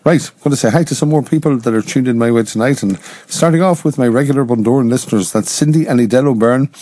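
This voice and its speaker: Man, radio presenter, hoarse and croaky, mellow tone, husky edge. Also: medium pitch, fast talker, no echo. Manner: chatty, reads out listener names. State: hoarse throat. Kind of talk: radio show links and dedications.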